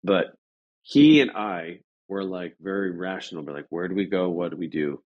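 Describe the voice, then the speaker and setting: Low-voiced man telling a story casually. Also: highs cut off. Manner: halting, rambling, with repeated phrases. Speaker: interview guest.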